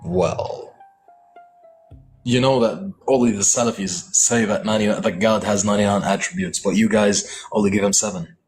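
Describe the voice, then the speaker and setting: A man's voice: lowish voice, fairly even intonation, normal speed, a little mellow, faint music behind, rough recording. A man in a live debate, pressing with probing, insistent questions. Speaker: debate participant.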